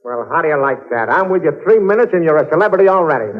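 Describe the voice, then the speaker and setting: Man playing a brash, high-pitched radio press agent. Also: expressive, very fast, natural voice. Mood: brash, confident. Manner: pushy, boastful salesman patter. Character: brash fast-talking male publicity agent, pushy and boastful. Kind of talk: 1940s radio comedy acting. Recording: muffled, noisy old radio broadcast recording.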